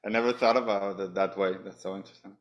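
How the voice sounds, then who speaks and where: A man's voice: low-pitched, steady tone, fast. A man, a guest author, talking casually on a video call.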